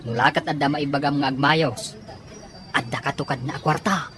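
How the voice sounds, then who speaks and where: A woman's voice: rumbling low, fairly even intonation, moderate pace, crowd noise. A woman playing a probing man on a search in an audio drama, with a noisy background. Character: probing man searching for someone, offering money. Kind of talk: amateur audio drama acting. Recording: noisy, with ambient background sound.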